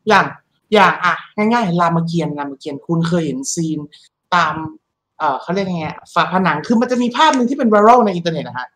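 A man co-hosting a podcast, chatting casually in a crude, slangy, excitable manner. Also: high voice, fast. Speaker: podcast co-host. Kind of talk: casual chat.

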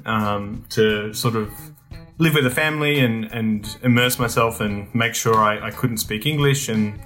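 A young man telling stories in a casual interview, relaxed and rambling, with lots of ums and restarts. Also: fairly low pitch, a little slow, faint music behind. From Australia. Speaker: guest.

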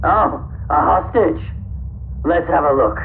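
A sneering, menacing male interrogator in a sci-fi audio drama. His fairly high voice comes through a thin, tinny radio filter with faint noise, dripping with sarcasm. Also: expressive, slow, creaky, full character voice. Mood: mocking. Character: taunting, suspicious male armed interrogator.

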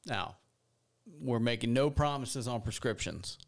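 Co-host guy, gravelly voice, dry sarcastic remarks. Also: mid-range voice, measured pace. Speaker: podcast co-host. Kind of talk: casual podcast talk.

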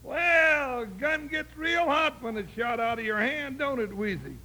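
Jolly cowboy sidekick, super high voice, slow western drawl, old hissy radio show. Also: male voice, expressive, pushed and bright, heavily acted. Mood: amused. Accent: American, Western drawl. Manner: folksy, drawling, exclamatory. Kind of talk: 1950s radio western acting. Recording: noisy old radio transcription.

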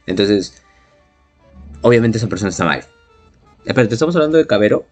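A man's voice: on the low side, animated, fast, a little mellow. A man discussing ideas casually as a podcast co-host, argumentative and fond of questions. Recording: music playing behind the voice. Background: background music.

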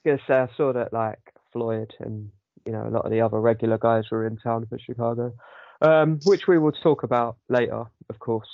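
A man with a sore throat hosts a casual podcast chat. His voice is gravelly and he rambles through lots of ums. The recording sounds dull and dry. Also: mid-range voice, fairly even intonation, moderate pace, no room sound. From United Kingdom. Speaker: podcast host.

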